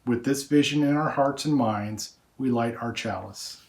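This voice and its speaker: A man's voice: mid-range voice, unhurried, reverberant, very noisy. A man reading liturgy aloud as a worship leader at a church service.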